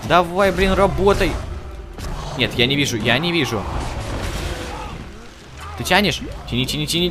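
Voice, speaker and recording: Excitable higher-voiced man playing a video game. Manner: excitable, urging game characters on, slangy. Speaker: gaming channel host. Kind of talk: casual let's-play commentary. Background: game music and effects.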